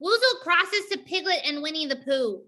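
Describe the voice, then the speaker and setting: Casting: female stage-directions reader, piercingly bright and lively scripted narration. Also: high voice, moderate pace.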